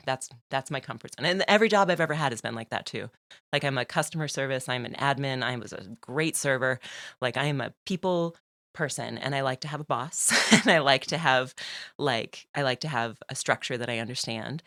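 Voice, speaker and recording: A woman's voice: very deep voice, fairly bright tone, no room sound. Chatty laughing woman, dry sound. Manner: chatty, laughs often, many fillers. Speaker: podcast guest. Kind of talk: casual conversational interview.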